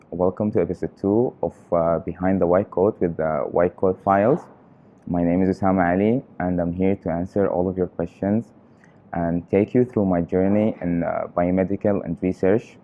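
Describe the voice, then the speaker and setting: A young man from Qatar, a research trainee, answering interview questions in an earnest, halting way with frequent pauses. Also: low-pitched, narrow, tinny audio. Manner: earnest, halting, often pausing on fillers.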